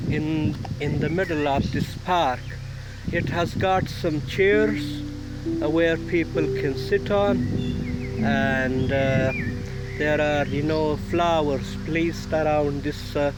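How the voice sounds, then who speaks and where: A man's voice: fairly high pitch, rough recording. A man from Afghanistan filming a walking tour of a park on his phone, talking hesitantly with many fillers. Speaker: vlogger. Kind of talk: casual walking tour vlog. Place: outdoor park. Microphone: phone held while walking.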